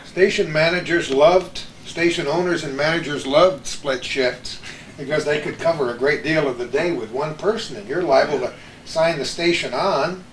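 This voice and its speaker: A man's voice: fairly high pitch, moderate pace. An older man, a former radio announcer, reminiscing in a panel chat. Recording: roomy, distant microphone, faint noise.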